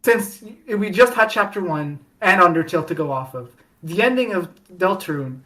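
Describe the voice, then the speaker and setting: A woman talks casually as a guest on a fan podcast, her voice sitting a little low. She rambles, doubling back and restarting her sentences with plenty of fillers. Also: expressive, normal speed, a bit bright, poor audio. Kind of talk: casual chat.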